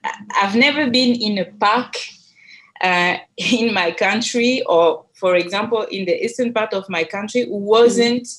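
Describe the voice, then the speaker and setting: A woman's voice: medium pitch, measured pace, a bit bright. A middle-aged woman with a Congolese accent, moderating a debate conversationally over a video call. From eastern DR Congo. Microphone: headset on a video call.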